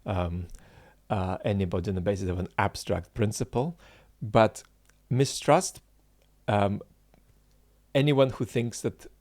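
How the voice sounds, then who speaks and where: A man in his forties, a political philosopher hosting his channel, talking unscripted to viewers in a halting, searching way with many fillers and restarts, earnestly stressing key points. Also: on the low side, steady tone, darkish tone, dry recording. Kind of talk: unscripted solo talk to viewers.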